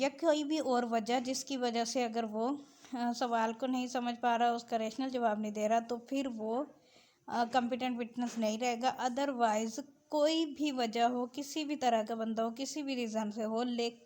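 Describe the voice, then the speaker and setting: A woman with a Pakistani accent giving an explanatory lesson as a law lecturer, switching between two languages and slowing down to read out clauses. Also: a little high, darkish tone. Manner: switches between Urdu-Hindi and English, slow when reading out clauses. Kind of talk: explanatory lesson, reading out and explaining legal text.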